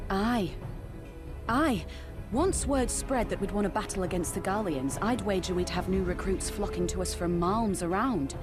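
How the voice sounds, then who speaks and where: Woman as a young rebel soldier, medium pitch, deferential, frank, formal, game drama, soft music behind. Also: normal speed, close to an everyday voice. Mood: frank, earnest. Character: respectful, plain-spoken young woman, resistance soldier. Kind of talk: video game drama acting.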